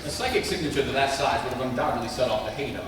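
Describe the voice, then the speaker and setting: An actor playing an earnest, commanding secret-agent hero, with stiff, formal, emphatic delivery at a live script reading. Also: male voice, medium pitch, very fast, lightly acted. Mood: deducing. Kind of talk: live table read of a TV script. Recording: echoey hall, distant, crowd noise.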